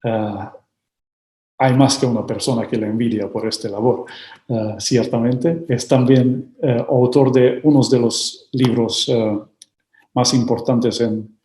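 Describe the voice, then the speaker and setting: Slow, hesitant man in an echoey room. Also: mid-range voice, mellow. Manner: hesitant, frequent filler sounds. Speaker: host of a book launch. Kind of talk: formal introduction of speakers. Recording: roomy echo.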